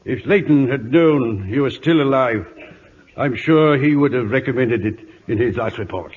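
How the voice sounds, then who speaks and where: An actor playing a gruff, blustery older British admiral with a husky voice, in old-time radio drama style. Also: male voice, medium pitch, lively intonation, normal speed. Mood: gruff, fond. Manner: blustering, emphatic. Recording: muffled old radio broadcast, reverberant.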